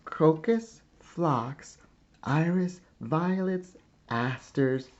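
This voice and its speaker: A man reading a children's picture book aloud like a teacher, with deliberate, expressive phrasing and pauses. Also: a little high, highs cut off. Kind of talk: slow children's storybook read-aloud.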